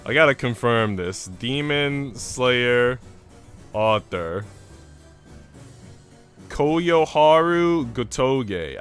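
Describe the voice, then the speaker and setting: A man chats casually on a game live stream, with slangy, profane, joking talk. His voice is smooth and clear at a middling pitch. The sound is dry, with some music in the background. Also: a bit bright. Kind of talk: casual chat while streaming a visual novel.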